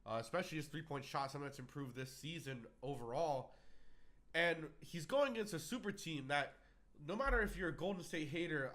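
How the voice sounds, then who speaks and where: A man from Miami talks through a cold, his voice stuffed up and nasal. He sits a little high in pitch and rambles through an emphatic sports opinion full of "uh"s and restarts, as the host of his own channel. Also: a bit bright. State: congested, under the weather. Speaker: sports vlogger. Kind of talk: casual sports opinion monologue.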